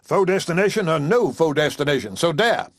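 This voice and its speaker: Guy putting on a smug preacher, drawly and pompous, pitched a bit up, dry close sound. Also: lively intonation, measured pace, no echo. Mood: indignant. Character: self-satisfied male preacher courting a widow.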